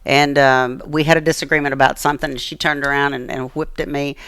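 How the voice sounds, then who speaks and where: A woman's voice: sits low, level tone, quick pace, a bit bright, clean tone, dry recording. An American woman telling personal stories as an interview guest, earnest and emphatic, repeating phrases for emphasis. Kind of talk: personal storytelling in conversation.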